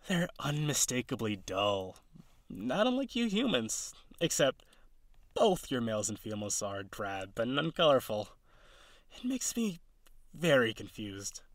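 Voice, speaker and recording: A man acting as an eager, awkward, gentle male harpy in an audio roleplay, speaking straight to the listener with hesitant, dramatic delivery. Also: medium pitch, dry recording. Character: eager, nervous, awkward young male harpy courting a human.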